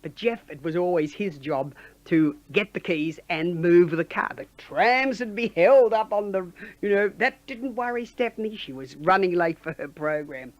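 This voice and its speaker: Give me a woman with a lowish voice telling a lively anecdote, jumping up high to mimic people. Dry close sound. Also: normal speed, no room sound, highs cut off. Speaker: former station colleague interviewed.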